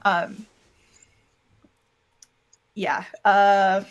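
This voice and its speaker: A woman's voice: mid-range voice, little pitch movement, drawn-out words, highs cut off. A woman chatting casually as an interview guest about creative production work, candid and informal, with "like" sprinkled through. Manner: candid, informal, heavy use of "like". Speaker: interview guest, audio drama producer and voice actor.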